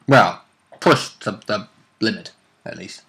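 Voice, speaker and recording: A man's voice: on the low side, slow pace, vocal fry, bright, forceful tone. A man chatting casually and bluntly as a player recapping a tabletop game.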